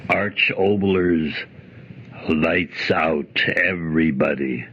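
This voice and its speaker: A man's voice: a bass voice, drawn-out words, background noise, exaggerated voice. An actor playing a portentous horror announcer on a vintage radio show, drawing out his words. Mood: ominous. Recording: muffled vintage radio recording, loud gong and music.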